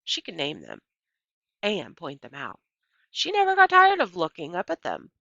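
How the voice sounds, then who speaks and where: A gentle preacher dad, voiced by a woman reader, reflective and measured as in a storybook. The delivery is bright and very expressive in pitch, recorded close and dry with a slightly muffled finish. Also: vocal fry, no room sound, full character voice. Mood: wistful. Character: gentle, thoughtful preacher father recalling his wife. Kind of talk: children's audiobook character voice.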